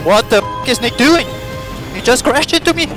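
Man, racing driver, agitated, high animated voice, team radio, music behind. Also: moderate pace. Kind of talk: exclaiming over team radio. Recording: race radio over music and car noise.

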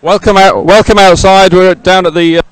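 Clear-voiced, chatty male event presenter. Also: high-pitched, fairly even intonation, moderate pace, dry recording, low-quality recording. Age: middle-aged. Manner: chatty, rambling, hesitant with repeats. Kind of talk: live sports event presenting, ad-libbed. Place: outdoors by a regatta podium. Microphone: handheld microphone.

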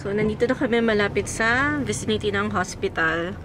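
Filipino woman vlogging over loud background noise. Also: medium pitch, fairly quick. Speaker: family vlogger. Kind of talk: casual vlog chat. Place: inside a car, then shopping mall and restaurant. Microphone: handheld camera at arm's length. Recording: noisy car and mall ambience.

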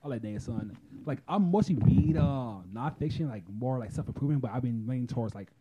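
A man's voice: mid-range voice, lively intonation, quick pace, phone-quality sound, heavy background noise. A man co-hosting a casual podcast chat, rambling in a laid-back, profane way, streamed live from a phone. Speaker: podcast co-host. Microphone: phone livestream.

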